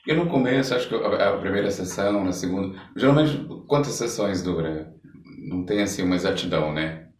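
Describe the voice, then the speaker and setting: A man's voice: sits low, brisk. Brazilian man chatting in an echoey room. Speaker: podcast interviewer. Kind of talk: casual interview chat. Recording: echoey room, distant mic.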